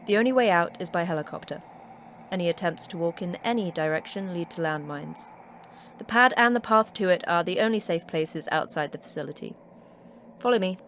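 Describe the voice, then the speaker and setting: An actress playing a terse, sardonic manager of a secret polar facility in an audio drama, with a dry, curt delivery. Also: female voice, fairly low pitch, a little fast, slight background noise, lightly acted. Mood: brusque, dry. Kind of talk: audio fiction acting.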